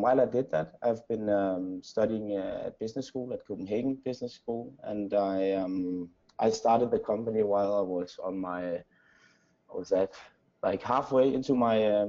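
A man's voice: lowish voice, dark tone, dull audio. A young Danish man with a Danish accent answering casually as an interview guest, repeating words as he searches for phrasing. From Denmark. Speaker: interview guest, company founder.

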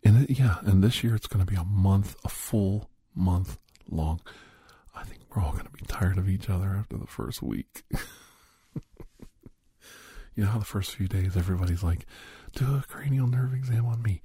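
A middle-aged man hosting a whispered ASMR roleplay, chatting softly and gently teasing the listener as a caregiver. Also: sits low, moderate pace, husky, no room sound, clean studio sound. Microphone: close microphone.

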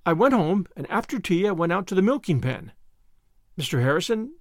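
A man's voice: high-pitched, big pitch swings, brisk, no room sound, clean studio sound. A man voicing an earnest, dramatic sixteen-year-old girl who talks formally and wordily in a storybook reading. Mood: anxious confession.

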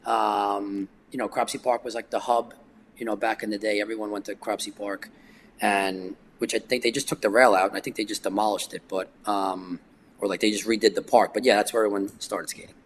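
A man's voice: fairly low pitch, steady tone, a little fast, a little hiss or hum. A man, a guest telling long stories in a casual chat, full of fillers and restarts. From New York, United States. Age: 40s. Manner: rambling, filler-heavy storytelling. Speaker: guest skateboarder.

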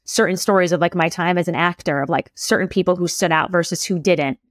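A woman with a lowish voice talks casually as a podcast guest about writing and storytelling. She chats in a loose, filler-heavy way, with words like "like" and "you know" throughout. The sound is dry and close, with no room around her. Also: fairly quick, no echo. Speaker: copywriter and storytelling coach, guest.

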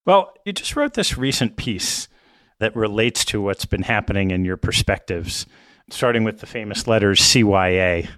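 A man's voice: fairly low pitch, animated, normal speed, fairly bright tone, clean tone, no echo. A man hosting an interview podcast, asking questions in a conversational style.

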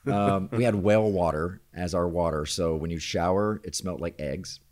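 Brief: Southern US man, forties, joking podcast host, slightly low pitch. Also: normal speed, darkish tone. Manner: chatty, jokey, laughs often. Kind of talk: casual interview chat.

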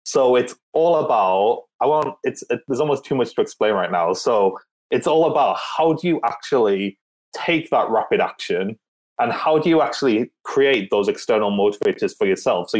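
A man with a fairly low voice speaking as a podcast guest. He is upbeat and energetic as he pitches his next project, and the talk stays casual throughout. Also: moderate pace, rough recording. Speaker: guest, business event organizer.

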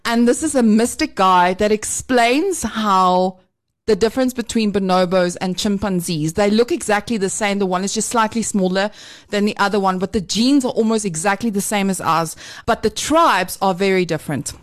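A woman's voice: mid-range voice, moderate pace. A woman hosting a podcast, explaining an idea in an upbeat, conversational way. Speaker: podcast host. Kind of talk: casual podcast chat.

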